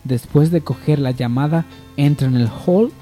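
A man's voice: a little high, normal speed, warm tone, faint music behind. A man narrating a scripted amateur radio soap opera as its storyteller.

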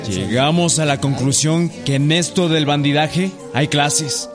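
An actor playing an emphatic storyteller, narrating a scripted historical radio drama. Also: male voice, fairly high pitch, a little slow, loud music behind the voice, lightly acted. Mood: solemn, emphatic.

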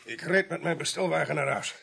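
An actor playing a curt, defensive, weary van driver in a radio play, with a husky, creaky voice. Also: male voice, fairly high pitch, fairly quick, fairly bright tone, heavily acted. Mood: guarded, curt. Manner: terse, guarded.

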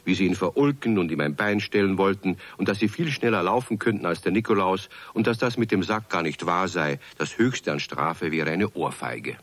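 A man playing the narrator of a children's radio play, telling the story in a calm, kindly way. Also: low voice, normal speed, few pauses, no echo. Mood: calm storytelling.

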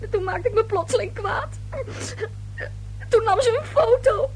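A woman's voice: extremely high pitch, unhurried, darkish tone, a little hiss or hum. An actress playing a nervous, easily upset young woman, a stammering and defensive daughter in a radio play. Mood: tearful, upset.

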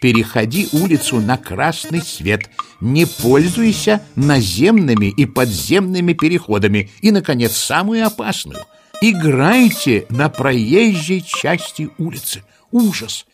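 A man narrating a children's story in an expressive, theatrical and playful way, with rhetorical asides. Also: on the high side, measured pace. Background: music.

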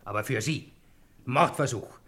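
An actor playing a cool, wry detective hero with a self-assured manner in a radio crime play. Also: male voice, medium pitch, a little slow, pushed and bright. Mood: triumphant.

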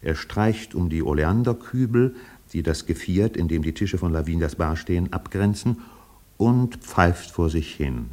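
A man narrating a literary radio play in a measured, precise, faintly ironic manner. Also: low-pitched, expressive, mellow.

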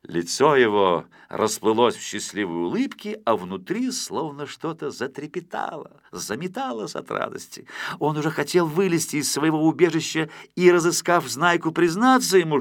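A man narrating a children's story from a script as an expressive, dramatic storyteller. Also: on the high side, creaky, no echo, studio quality.

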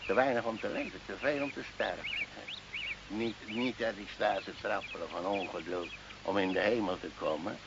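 A man's voice: lowish voice, normal speed, creaky. An actor playing a sly, rambling old male goatherd in a radio drama, stammering, repeating himself and chuckling. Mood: confiding, wry. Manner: stammering, repetitive, frequent chuckling and questioning tags. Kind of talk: Dutch radio drama acting. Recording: muffled old radio recording, faint noise.

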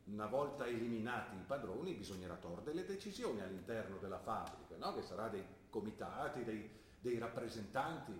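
A man's voice: on the low side, lively intonation, brisk, far from the mic, a little hiss or hum, close to an everyday voice. An actor playing a skeptical older father, a plain-spoken shopkeeper questioning his daughter. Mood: challenging. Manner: earnest, probing, plain-spoken. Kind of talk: stage play acting. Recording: roomy stage recording, voice from afar.

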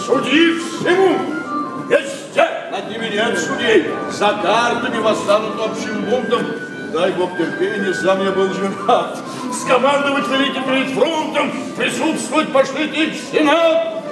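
Fussy, grumbling old patriarch, unctuous stage declamation, unhurried, reverberant hall with loud music. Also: male voice, very high-pitched, distant mic. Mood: boastful, effusive. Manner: declamatory, sing-song, unctuous. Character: pompous ageing Moscow gentleman, fussy and grumbling father. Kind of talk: theatrical verse radio play acting.

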